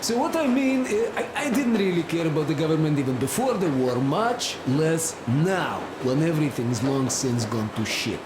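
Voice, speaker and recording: An actor playing a plain-spoken, loyal, wary wanderer in a game, with a rambling, halting, colloquial way of talking. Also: male voice, on the high side, lively intonation, lightly acted. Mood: opinionated, chatty. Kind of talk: game cutscene dialogue. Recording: noisy, echoey, vehicle-like ambience behind.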